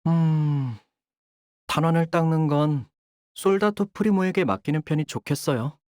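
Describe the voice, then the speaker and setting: A man's voice: on the high side, moderate pace, a little mellow, no echo, clean studio sound, lightly acted. A young man voicing a video game character, a dutiful young syndicate soldier who speaks formally and can turn coldly menacing. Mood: polite, measured. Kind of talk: acted character voice lines.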